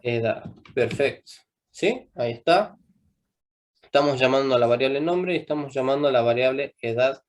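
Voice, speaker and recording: Young male coding instructor, mid-pitched, patient, constantly checks understanding. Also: moderate pace. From Argentina. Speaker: programming teacher. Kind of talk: live coding class.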